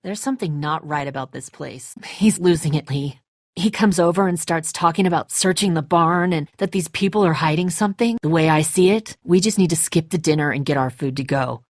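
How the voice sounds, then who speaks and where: A woman's voice: deep voice, brisk, no room sound, clean studio sound. A woman voicing a tense, suspicious and defensive leader of zombie apocalypse survivors in a video game, speaking bluntly with biting sarcasm. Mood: guarded, firm.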